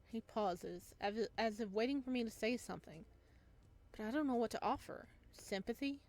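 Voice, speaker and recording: A woman's voice: fairly high pitch, moderate pace, darkish tone. A woman reading story text from a visual novel aloud as a gameplay host, adding her own asides.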